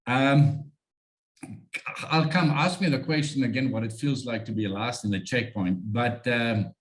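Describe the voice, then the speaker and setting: South African man, interview guest, sincere and halting. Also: mid-range voice, poor audio. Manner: earnest and heartfelt, halting restarts. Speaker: guest, sled dog musher. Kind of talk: conversational interview.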